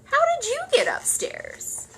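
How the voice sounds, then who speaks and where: A woman voicing a brisk, puzzled male night watchman in a storybook, exclaiming emphatically. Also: very high voice, wildly swooping pitch, vocal fry, background noise, pitched above the performer's own voice, full character voice. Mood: startled, puzzled. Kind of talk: storybook character voice.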